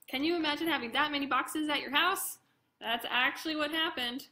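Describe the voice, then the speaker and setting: A woman reading her own picture book aloud to children in an expressive way, with casual chatty asides. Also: sits high, brisk. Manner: expressive, addresses young listeners directly; casual, informal commentary between passages.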